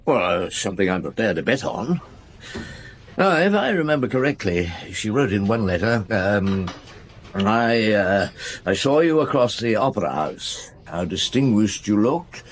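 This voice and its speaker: An actor playing a brooding, probing middle-aged police chief inspector in a radio drama, with pensive hums and pauses. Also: male voice, on the low side. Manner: ruminative, questioning, with hums and pauses.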